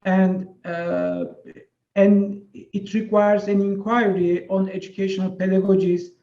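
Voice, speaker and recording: Turkish-native man, high voice, echoey room. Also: expressive, mellow, dull audio. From Turkey. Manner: measured, reads prepared text with fillers. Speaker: associate professor giving a webinar talk. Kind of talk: prepared webinar presentation.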